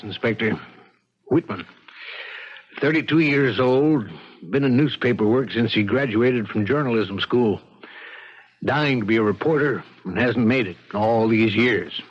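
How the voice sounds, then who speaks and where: Husky American police lieutenant. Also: male voice, mid-range voice. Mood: matter-of-fact. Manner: matter-of-fact, measured questioning. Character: steady, probing male homicide police lieutenant. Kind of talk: 1970s radio drama acting. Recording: dull vintage radio broadcast.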